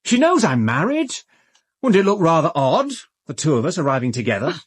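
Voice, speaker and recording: An actor playing an uneasy, defensive married British man with a husky voice in a radio drama. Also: on the high side, very animated, fairly quick. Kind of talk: full-cast radio drama acting.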